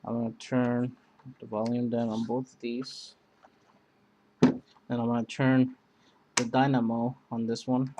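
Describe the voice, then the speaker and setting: Guy showing off radios outside, slow and rambly, mid pitch. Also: completely flat delivery, background noise. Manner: rambling, hesitant, many fillers. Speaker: product reviewer. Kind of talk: casual product demo.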